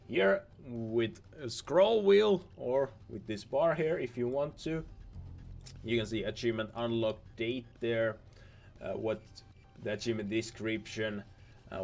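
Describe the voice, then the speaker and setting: A young man, a little hoarse from losing his voice, giving casual rambling commentary over game footage, repeating himself and restarting words. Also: on the low side, measured pace, darkish tone, faint music behind. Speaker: gaming video host.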